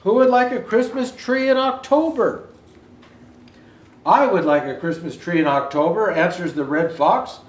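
An older man reading a children's picture book aloud as a storyteller, with expressive, deliberate phrasing and the odd stumble. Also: high voice, distant mic. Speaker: Santa Claus storyteller. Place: indoor room with Christmas decor. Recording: roomy indoor echo.

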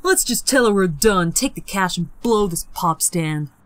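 A woman's voice: lowish voice, animated, moderate pace, dry recording, close to an everyday voice. A woman voicing a bratty, mean seventh-grade boy in an audiobook, brash and mocking with slangy talk. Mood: mocking, fed up.

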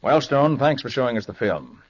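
A man's voice: mid-range voice, sing-song, normal speed, a little hiss or hum, close to an everyday voice. An actor playing a shrewd, level-headed male detective in a 1940s radio drama. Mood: calm. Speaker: detective lead. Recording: muffled 1940s radio broadcast.

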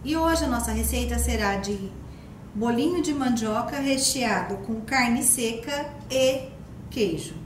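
High-voiced Brazilian woman hosting a cooking demo. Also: echoey room, a little hiss or hum, low-quality recording. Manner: simple step-by-step explaining, addressing viewers directly. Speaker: cooking channel host. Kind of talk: recipe demonstration. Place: home kitchen, indoors.

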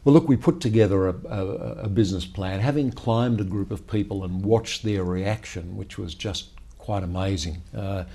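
A man in his sixties answers questions with a measured, storytelling manner, often starting with "well, look". His voice is low and mellow. He is recorded on a lapel mic in a high-rise office meeting room. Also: breathy edge. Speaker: company founder and chief executive. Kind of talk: business interview.

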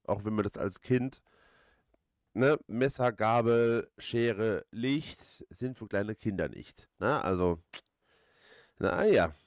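Low-voiced man rambling casually, dry close sound. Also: expressive, slow pace, no echo. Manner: rambling, hesitant, thinking aloud. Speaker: let's player, host. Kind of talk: casual let's play commentary.

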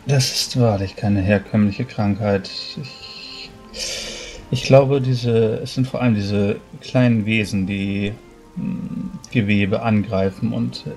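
A man playing a serious, polite healer who speaks in a measured, hesitant way during a tabletop roleplay. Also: fairly low pitch, fairly even intonation, a little mellow, soft background music. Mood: grave, explaining. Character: careful, formal male healer, soberly serious.

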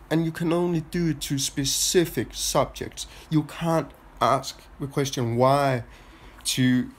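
Stuffy-nosed Danish-accented man musing to camera. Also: medium pitch. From Denmark. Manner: halting, many restarts and filler sounds. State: stuffy nose from a cold. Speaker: vlogger. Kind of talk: rambling personal monologue to camera. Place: small room indoors. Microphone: phone held at arm's length.

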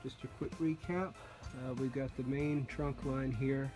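A man's voice: medium pitch, steady tone, measured pace. Man, radon installer, casual how-to walkthrough, plain-spoken and joking, phone recording, background music. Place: old house cellar and outdoors.

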